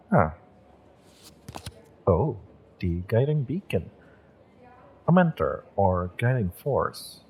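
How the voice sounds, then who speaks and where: A man playing a kind, wistful witch and tarot shopkeeper in a roleplay audio, speaking to the listener in a gentle, encouraging, unhurried way. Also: lowish voice, a little hiss or hum, exaggerated voice. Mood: musing, smitten.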